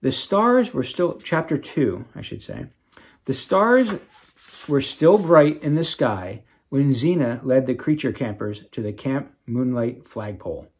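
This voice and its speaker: A man reading aloud to children as an author, with expressive, dramatic emphasis and casual asides. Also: medium pitch, unhurried, husky. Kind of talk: animated storybook read-aloud with casual asides.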